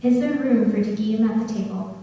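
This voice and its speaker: Calm woman leading worship. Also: medium pitch, brisk, a little hiss or hum. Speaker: worship leader. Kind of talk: liturgical reading. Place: church sanctuary. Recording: echoey church from far off.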